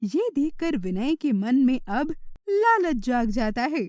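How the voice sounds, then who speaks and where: A woman's voice: high voice, sing-song, takes it slowly, darkish tone, no room sound. A woman narrating a children's moral story in a scripted storytelling style.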